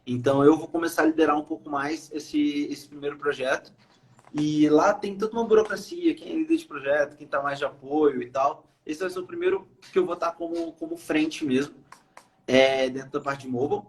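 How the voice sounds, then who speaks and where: A man's voice: fairly high pitch, a little fast, low-quality recording. A young Brazilian man from Brazil, a podcast guest speaking casually with many fillers and hesitations. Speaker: podcast guest, iOS developer. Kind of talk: casual interview chat.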